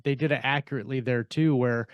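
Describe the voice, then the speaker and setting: Low-voiced man talking on a podcast, dry sound. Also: steady tone, quick pace, clear, resonant tone, no room sound, muffled recording. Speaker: podcast co-host and fiction writer. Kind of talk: casual podcast discussion.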